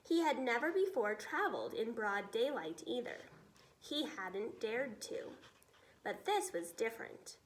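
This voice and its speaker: A woman reading a children's story aloud in an expressive teacher's storytelling style. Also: fairly high pitch, unhurried, slight background noise, rough recording.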